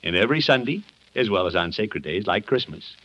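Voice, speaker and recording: An American actor playing a kindly, jovial middle-aged uncle in a 1950s radio comedy, with an avuncular, theatrical storyteller's delivery. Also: male voice, on the low side, lively intonation, brisk, fairly bright tone. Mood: gentle storytelling. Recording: muffled old 1950s radio broadcast.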